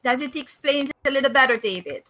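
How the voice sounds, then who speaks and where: A middle-aged woman from Hungary with a Hungarian accent, hosting a faith and business video call and speaking thoughtfully with many pauses and fillers. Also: sits high, lively intonation, pushed and bright, slight background noise. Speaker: host of a faith and business discussion. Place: small room indoors. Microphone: webcam on a video call.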